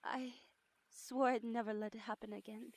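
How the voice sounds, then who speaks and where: A woman's voice: fairly high pitch, level tone, normal speed, no echo. A woman playing an idealistic, compassionate young operative burdened by guilt in a radio drama, earnest and emotional. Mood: earnest, troubled. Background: background music.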